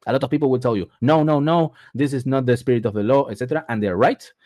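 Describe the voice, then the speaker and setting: Argentine guy running a webinar, mid pitch, dead dry mic, keeps saying okay?. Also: fast talker, darkish tone, no echo. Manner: repeats words for emphasis, frequent "okay?" check-ins. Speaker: immigration advisor presenting a webinar. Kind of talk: explanatory webinar presentation.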